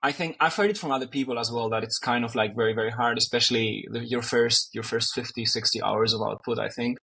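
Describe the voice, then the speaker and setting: A man's voice: mid-range voice, fairly even intonation, fast, vocal fry, pushed and bright, slightly rough. A man from Greece, a native Greek speaker, chatting as an interview guest and talking with many fillers and restarts. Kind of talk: casual conversation.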